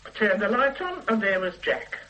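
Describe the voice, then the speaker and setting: A woman's voice: medium pitch, sing-song, normal speed, sharp tone, highs cut off. A woman playing a blunt, defiant middle-aged shopkeeper, in a radio drama, who stammers and restarts her sentences. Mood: stubborn. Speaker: witness.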